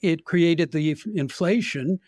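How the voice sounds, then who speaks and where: An older man with an American accent giving opinionated, deliberate political commentary in conversation as a podcast guest. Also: fairly high pitch, a little mellow, dry recording, clean studio sound.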